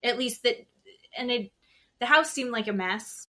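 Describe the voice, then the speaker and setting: A woman's voice: a little high, normal speed, bright, forceful tone. A woman co-hosting a podcast, recapping television in a loose, informal, chatty way. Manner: informal, peppered with "like", quotes people conversationally. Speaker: podcast co-host. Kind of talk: casual chat recapping TV.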